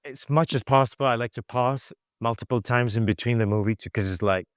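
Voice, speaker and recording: A young man from Malaysia chats loosely through an interview, his voice smooth and resonant. He fills pauses with "um" and "like" and laughs softly. The sound is close and dry. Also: mid-range voice, dry recording. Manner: chatty, many fillers and laughs. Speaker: guest, visual artist. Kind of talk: casual interview answers.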